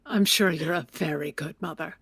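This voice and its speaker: An actress playing a haughty, bitter widow in a period audio drama, imperious and sharp-tongued. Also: female voice, low-pitched, normal speed, creaky. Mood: scornful, curt. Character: haughty, indignant, bitter widow of a town founder.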